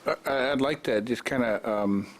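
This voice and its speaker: A man's voice: mid-range voice, a little slow. A man on a city council talking casually and hesitantly, with many fillers. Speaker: council member. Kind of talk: council meeting discussion. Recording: faint background noise from a live stream.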